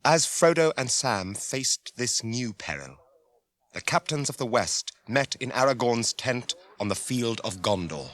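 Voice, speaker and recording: A man giving calm, formal narration for an old radio drama. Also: mid-range voice, a little slow.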